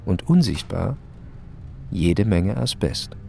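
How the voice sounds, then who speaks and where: Slow, low, gravelly male narrator. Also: animated, warm tone, a little hiss or hum. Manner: terse, matter-of-fact, clipped fragments. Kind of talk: scripted radio play narration.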